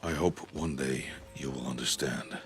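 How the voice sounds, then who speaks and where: Stoic older hunter, extremely low voice, gravelly, grave and measured, fatherly, video game drama, clear music behind. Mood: solemn, sorrowful. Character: stoic older outcast hunter, gruff fatherly mentor, solemn, tender.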